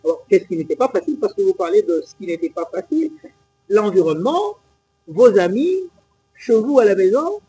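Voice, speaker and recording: A man's voice: high voice, sing-song, a little fast, mellow, slightly rough, poor audio. A male TV host, asking pressing, insistent questions. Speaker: TV host, interviewer. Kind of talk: lively interview questions.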